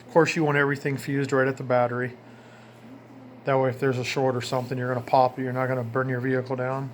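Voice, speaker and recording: Male DIY hobbyist, mid-pitched everyday voice, plainspoken hands-on walkthrough, some ambient noise behind him. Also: flat delivery, quick pace. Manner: plainspoken, informal, pointing things out as he goes. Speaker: DIY hobbyist showing his own install. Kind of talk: casual walkthrough narration. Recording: noticeable ambient background noise.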